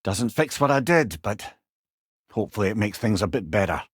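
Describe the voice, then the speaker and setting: A man's voice: fairly low pitch, expressive, moderate pace, slightly rough, no room sound, clean studio sound. A man voicing a wise, witty old Scottish advisor in a video game, with a wry, eloquent and rueful manner. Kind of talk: video game character dialogue.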